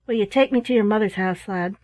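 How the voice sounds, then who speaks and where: A woman voicing a rough but kindly male sailor in his early thirties, full of bluff, hearty seafaring talk in a storybook reading. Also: mid-range voice, fast, darkish tone, no room sound, close to an everyday voice, low-quality recording.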